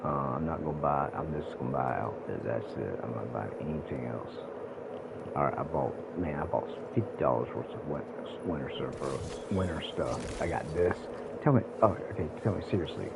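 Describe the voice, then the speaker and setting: Very deep, gravelly man. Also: brisk, heavy background noise. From South Carolina, United States. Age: late 40s. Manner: rambling, addresses viewers directly. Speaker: live streamer. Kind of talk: casual gaming livestream chat. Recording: music playing behind the voice.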